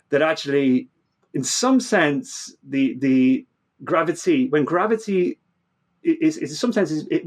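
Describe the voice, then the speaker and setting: A man explaining physics conversationally as an interview guest, often repeating words and restarting mid-phrase. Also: fairly high pitch.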